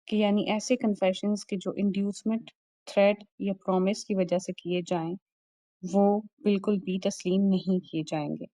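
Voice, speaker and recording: A woman's voice: medium pitch, mellow, no room sound, poor audio. A woman with a Pakistani accent giving an explanatory lecture, methodically repeating and rephrasing each point as a teacher does. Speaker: law lecturer. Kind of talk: explanatory lecture, switching between Urdu and English.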